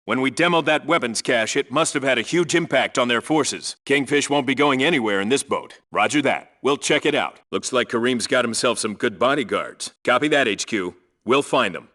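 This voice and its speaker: A man's voice: mid-range voice, animated, normal speed, few pauses, bright, forceful tone. A man voicing a tough, disciplined special forces squad leader in a military video game, clipped and authoritative. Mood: crisp, businesslike. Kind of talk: military video game voice lines, clipped radio reports and orders.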